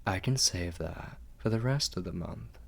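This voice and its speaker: Guy doing a posh doting vampire lord asmr, really slow n husky, formal, coaxing, kinda whispery. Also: low-pitched, full character voice. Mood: wry, musing. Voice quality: husky, gravelly, often breathy and whispery. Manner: formal, measured, softly coaxing. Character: refined, doting, fastidious male vampire lord. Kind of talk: ASMR roleplay, intimate scripted monologue.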